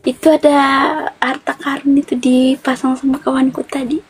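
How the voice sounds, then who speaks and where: A woman's voice: high-pitched, level tone, normal speed, darkish tone, husky, a faint crowd. A woman from Toraja hosting a casual live stream, chatty and teasing. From Toraja, South Sulawesi. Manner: teasing, chatty, laughs often.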